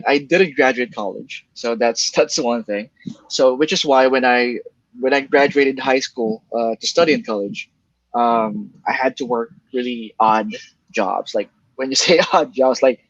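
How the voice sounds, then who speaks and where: A man with a Filipino accent talks about his work in a casual, halting way, restarting his sentences often. His first language is Bicol. The recording sounds dull and lo-fi, dry and close with no room echo. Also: mid-range voice. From Philippines. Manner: halting, many restarts and fillers, laughs lightly. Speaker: guest, email copywriter. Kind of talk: casual interview answers.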